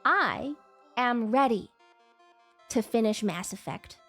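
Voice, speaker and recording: High-voiced, congested woman streamer. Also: animated, takes it slowly, fairly bright tone, soft background music. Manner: rambling, with whiny playful outbursts. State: congested with a cold. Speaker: livestreamer. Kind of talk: casual chat stream.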